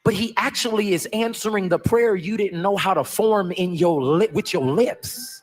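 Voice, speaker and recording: A man preaches in a high, husky voice. He delivers his sermon emphatically, urging the listeners on. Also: moderate pace.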